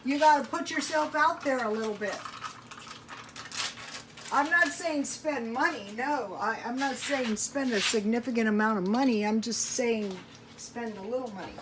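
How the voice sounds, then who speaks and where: A woman's voice: on the high side, normal speed, background noise. A woman chatting casually to viewers while cooking at home, thinking aloud in a rambling, folksy way. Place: home kitchen.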